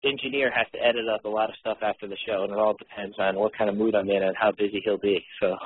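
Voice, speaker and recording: A man's voice: lowish voice, fairly even intonation, fast talker, husky, no room sound. A man who hosts a radio show, chatting casually and bluntly as an interview guest. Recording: tinny phone-like line.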